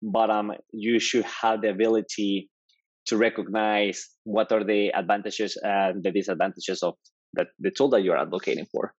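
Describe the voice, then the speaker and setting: A man's voice: lowish voice, little pitch movement, moderate pace, dry recording, muffled recording. A man from Mexico with a Mexican Spanish accent, speaking as a guest in a casual tech interview, enthusiastic and often checking in with "right?". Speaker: developer advocate guest.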